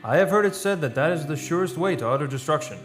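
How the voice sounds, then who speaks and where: A man's voice: on the high side, lively intonation, natural voice. A man playing a grave, loyal friend and counsellor who also narrates a radio play in solemn, literary style. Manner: solemn, measured literary storytelling. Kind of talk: old-time radio play acting and narration. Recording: music under the voice.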